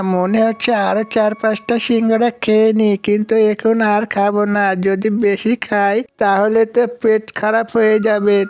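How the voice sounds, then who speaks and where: A woman's voice: fairly high pitch, a little mellow. A woman voicing a friendly village man, chatty and familiar, in a cartoon story.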